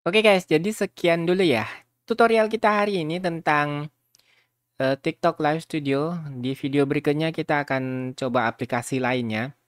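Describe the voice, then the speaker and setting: A man's voice: a little high, fairly even intonation, moderate pace, smooth, no echo. Casual man, dry close sound. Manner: informal, rambling, chatty, addressing viewers. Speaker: video tutorial host. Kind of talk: casual software tutorial.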